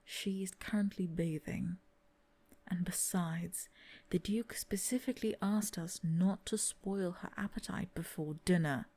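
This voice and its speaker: Woman voicing a masked butler, soft formal whisper, husky, warm and dark, roleplay audio. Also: fairly low pitch, unhurried. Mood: hushed. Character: masked female butler, quiet and dutiful. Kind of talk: ASMR roleplay audio drama.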